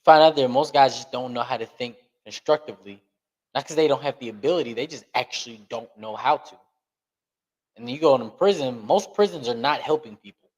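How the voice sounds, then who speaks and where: A man giving an interview on a podcast, talking earnestly and emphatically, speeding up and slowing down to stress points. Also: mid-range voice, rough recording. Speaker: podcast guest. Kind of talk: candid interview talk.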